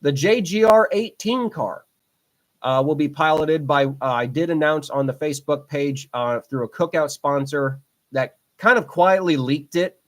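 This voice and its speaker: A young man in his twenties talks through racing news on his own podcast in a loose, rambling way, circling back on his points and filling gaps with ums. His voice sits a little high for a man. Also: unhurried. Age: 20s. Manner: rambling, repeats himself, frequent fillers. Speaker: podcast host. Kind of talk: casual solo podcast commentary.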